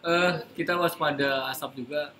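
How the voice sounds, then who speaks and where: A man's voice: a little high, fairly even intonation, normal speed, sharp tone. A man hosting a casual live chat in an informal, joking way. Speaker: host. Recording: noisy room, live stream.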